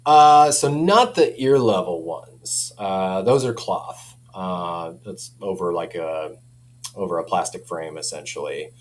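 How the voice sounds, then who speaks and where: A man speaking as a company representative in a casual product chat, informal and hedging, quick to chuckle. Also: low voice, animated.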